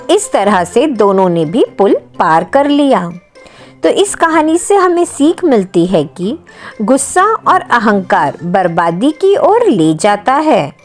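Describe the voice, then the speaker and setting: Slow, lively woman storyteller. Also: fairly high pitch. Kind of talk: scripted children's moral story narration. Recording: music behind the voice. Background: music.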